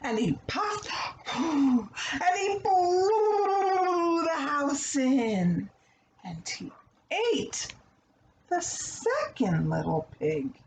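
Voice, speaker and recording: A woman reading a children's story aloud in a deliberate, expressive manner, pausing between short phrases. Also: sits high. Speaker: storybook reader. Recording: roomy echo, light background noise.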